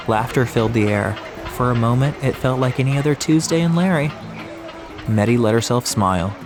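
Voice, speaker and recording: A man narrating an atmospheric audiobook story from a script. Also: mid-range voice. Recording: music behind the voice.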